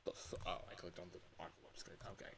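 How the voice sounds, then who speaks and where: A man's voice: quick pace, a bit bright. A tired man chatting casually on a livestream, grumbling and swearing at himself as he records voice lines. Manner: grumbling, self-critical, sweary. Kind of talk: casual livestream chat, self-commentary.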